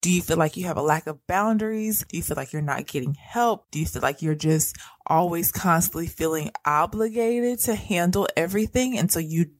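A woman's voice: fairly low pitch, a little fast, no echo. Earnest woman teaching about anxiety. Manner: earnest, repetitive phrasing, direct address. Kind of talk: teaching monologue.

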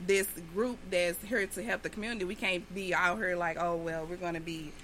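Measured speaker preaching good conduct. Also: male voice, high voice, fairly even intonation, a bit bright, slight background noise. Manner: measured, halting, preaching values. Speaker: organization leader. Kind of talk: round-table discussion.

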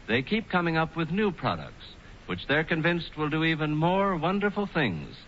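Bright, animated American radio spokesman. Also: a little high, highs cut off. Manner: declamatory, emphatic. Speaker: commercial spokesman. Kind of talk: scripted 1940s radio advertising narration. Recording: noisy, band-limited 1940s radio recording.

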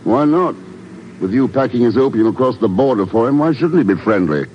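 An actor playing a cool, wry agent in charge in a 1950s radio drama, dry and unruffled, on a noisy old recording. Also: male voice, mid-range voice, expressive, brisk, dull audio, natural voice. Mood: calm.

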